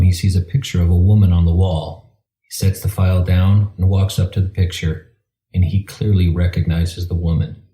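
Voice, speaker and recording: Man, deep voice, mellow and dark, scripted drama narration, echoey room, faint music. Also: slight background noise. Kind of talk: scripted screenplay-style narration. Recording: roomy echo with faint music.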